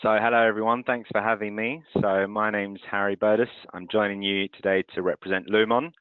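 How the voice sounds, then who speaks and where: A man from France, presenting for a currency transfer firm in a webinar and running audience polls in a clear, steady way. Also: on the low side, brisk, no echo. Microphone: video call. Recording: tinny phone-like call audio.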